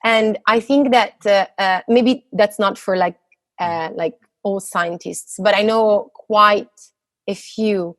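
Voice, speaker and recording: A woman's voice: mid-range voice, slow, fairly bright tone, dry recording. A woman in her thirties with an Italian accent, a physicist answering interview questions casually, hesitant and full of fillers and restarts. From Italy. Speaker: guest, postdoctoral physicist.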